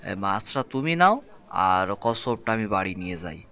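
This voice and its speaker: A man's voice: fairly low pitch, animated, brisk, bright, forceful tone, slight background noise. A man voicing a humble, hesitant village boatman in a cartoon folk tale. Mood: chatty, coaxing. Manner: colloquial, folksy, hesitant, repeating words. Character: humble boatman turned fisherman, wheedling, henpecked husband. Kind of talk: animated folk tale voice acting. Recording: dull lo-fi sound with music behind.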